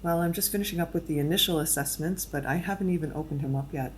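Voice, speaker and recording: A clinical, matter-of-fact medical examiner in an audio drama, played by a woman. Her voice is notably deep for a woman, and she delivers findings in a dry, measured way. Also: slight background noise, natural voice.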